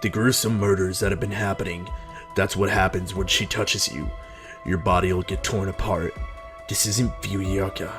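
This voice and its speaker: A man voicing a calm, mysterious, protective male fighter in a story, composed, grave and measured. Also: low voice, over music, natural voice.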